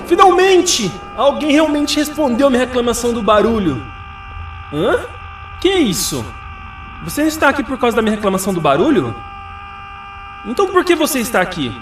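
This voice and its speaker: A man's voice: extremely high pitch, big pitch swings, quick pace, pauses often. A man playing a fussy, irritable, self-important neighbour in a video game, whining theatrically. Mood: indignant. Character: fussy, irritable male neighbour griping about noise. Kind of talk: video game character acting. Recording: distant, echoey, music behind.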